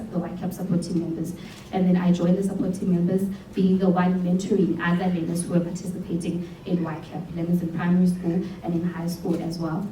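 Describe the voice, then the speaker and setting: A young woman with a South African accent speaks earnestly, pausing to restart her thoughts. Her voice is mellow and unhurried. She is heard from a distance in an echoing hall over a faint low hum. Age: young adult. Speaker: program alumna and speaker. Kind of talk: speaking on stage at a showcase. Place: event hall.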